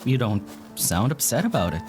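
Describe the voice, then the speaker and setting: A woman's voice: very deep voice, sing-song, normal speed, music in the background. A woman acting in an audio drama as a casual, easily flustered young traveler with an offhand, informal way of talking. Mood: subdued.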